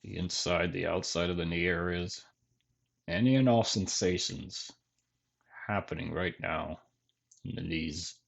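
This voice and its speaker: Slow, low-pitched man guiding meditation. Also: fairly even intonation, vocal fry. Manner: gentle, measured instruction with long pauses. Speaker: meditation teacher. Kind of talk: guided body scan meditation.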